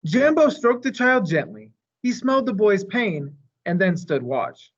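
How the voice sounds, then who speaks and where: A man voicing a gentle, wise old female elephant who tells stories in a measured, thoughtful way. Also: sits high, very animated, husky, lo-fi sound, voice pushed up, full character voice. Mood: earnest storytelling.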